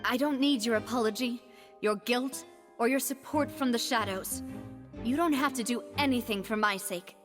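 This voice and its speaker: A woman playing a determined young noblewoman in a game, arguing earnestly for justice over clear background music. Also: on the high side, normal speed, lightly acted. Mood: resolute. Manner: earnest, forceful courtroom argument. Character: determined young noblewoman seeking justice for her dead father. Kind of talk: dramatic game voice acting. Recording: loud music underneath.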